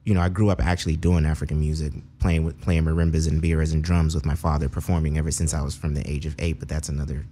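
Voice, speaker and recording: A man from Seattle, a musician guest in a radio studio, chatting in a relaxed way, full of "you know". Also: extremely low pitch, fast, few pauses. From Seattle, Washington. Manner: easygoing, lots of fillers like "you know". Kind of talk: relaxed interview conversation.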